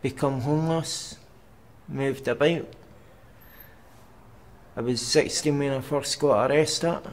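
A young man with a Glaswegian Scottish accent, giving personal testimony for a documentary in a halting, plain way with pauses and fillers. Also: medium pitch, rough recording. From Scotland. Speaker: documentary interviewee. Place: outdoors on a city street at night.

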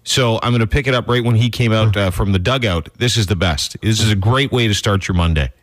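A man co-hosting a casual morning radio show from a studio, rambling excitedly and laughing as he tells a story. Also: on the low side, brisk. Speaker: radio co-host. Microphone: studio microphone.

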